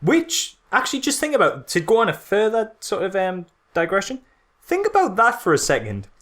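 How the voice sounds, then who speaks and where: Man, high pitch, lively intonation, incredulous, rambling, casual comedic podcast chat. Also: moderate pace. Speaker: podcast co-host.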